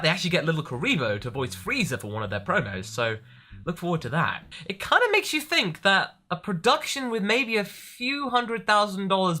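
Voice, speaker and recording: A man around his thirties talking casually and enthusiastically in a question-and-answer vlog. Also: on the high side. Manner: enthusiastic, animated. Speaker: online vlogger.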